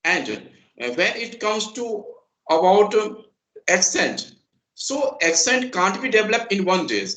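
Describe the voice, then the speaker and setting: A man's voice: sits high, very animated, unhurried, pushed and bright, reverberant, poor audio. A man from Bihar speaking English with an Indian accent, answering an interviewer earnestly and politely, often addressing him as sir. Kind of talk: casual conversational interview.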